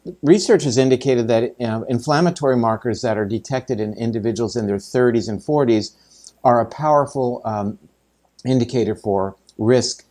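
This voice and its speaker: A man's voice: mid-range voice, moderate pace, mellow. A man hosting a podcast, asking questions in a conversational way with many fillers. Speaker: podcast host. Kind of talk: interview questions.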